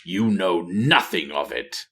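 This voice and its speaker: A man voicing a cold, sneering dark commander in a fantasy storybook, curt and contemptuous. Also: deep voice, animated, slow, pitched below the performer's own voice, heavily acted. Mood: cold contempt.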